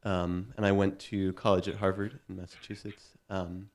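A man from New York introduces himself to new classmates. He speaks hesitantly with many fillers, and his voice sits a little low. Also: level tone, moderate pace, darkish tone. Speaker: new graduate student.

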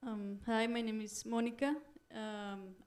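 Slow, deliberate, smooth-voiced woman. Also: a little high, flat delivery, a little mellow. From Colombia. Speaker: new graduate student.

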